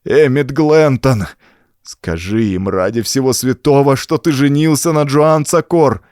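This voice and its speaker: A man's voice: mid-range voice, measured pace, a little mellow, no room sound, studio quality, voice pushed up, lightly acted. A man voicing a terrified older rancher in agony, frantic and shrieking, in a horror audiobook. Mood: pained panic.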